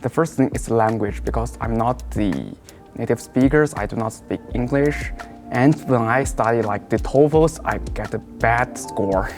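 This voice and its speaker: A young man from China speaking English, in halting, simply phrased casual talk. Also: medium pitch, a little mellow, faint music behind. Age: young adult. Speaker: international student. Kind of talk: casual chat.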